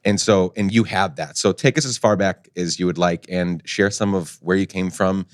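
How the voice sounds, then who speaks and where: A man's voice: deep voice, normal speed, no echo, clean studio sound. A man hosting a podcast, interviewing in an earnest, encouraging, conversational way. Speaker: podcast host.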